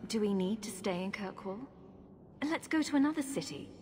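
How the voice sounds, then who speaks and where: An actress playing a determined young mage in a video game, composed, questioning and drily sceptical. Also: female voice, mid-range voice, animated, moderate pace, lightly acted. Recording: noisy ambience behind the voice.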